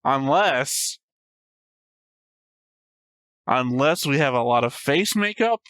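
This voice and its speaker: A man's voice: mid-range voice, lively intonation, slow pace, fairly bright tone, clean tone, no echo, studio quality. A man hosting a reaction show, commenting casually on what he watches.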